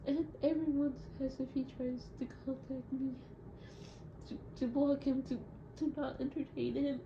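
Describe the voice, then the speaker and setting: A young adult woman speaking in a hesitant, halting and reflective way, as in a confessional audio diary. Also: high-pitched, level tone. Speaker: audio diarist. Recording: muffled, echoey room with loud noise.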